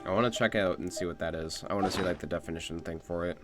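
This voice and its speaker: Low-voiced man streaming a game. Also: very fast. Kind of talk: casual live game commentary. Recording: music behind the voice.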